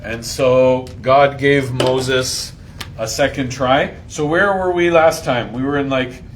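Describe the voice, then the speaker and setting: A man leading an informal Bible lesson, putting questions to his listeners in a slangy, jokey way and acting out lines loudly. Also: mid-range voice, steady tone, slow pace. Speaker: Bible study host and teacher. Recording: voice over clear background noise.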